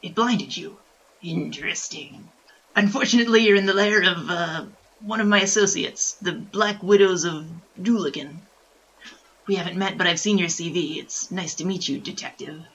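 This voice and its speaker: A man playing a sly, flippant bandit king in an audio drama, with a creaky, husky voice and an arch, dry manner. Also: sits high, moderate pace, a bit bright, highs cut off. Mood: arch, unbothered.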